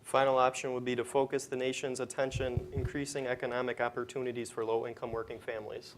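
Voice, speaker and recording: A man's voice: mid-range voice, flat delivery, fast talker. A young man reading from a script as a student presenter at a live event. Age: young adult. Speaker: student presenter in readers theater. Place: college library event, live on stage. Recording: faint room noise.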